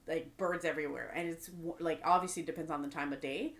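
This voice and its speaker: A woman's voice: low voice, fairly even intonation, fast talker, a crowd in the background. A woman co-hosting a casual podcast, telling stories with lots of fillers. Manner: rambling storyteller, lots of fillers. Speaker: podcast co-host. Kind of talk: casual chat.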